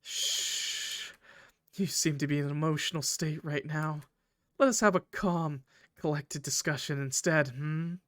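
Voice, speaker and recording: A man voicing a polite, eloquent male train conductor villain from a visual novel, formal and measured, with quiet menace. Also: on the high side, no room sound, studio quality. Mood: calm, menacing. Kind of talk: visual novel character read aloud.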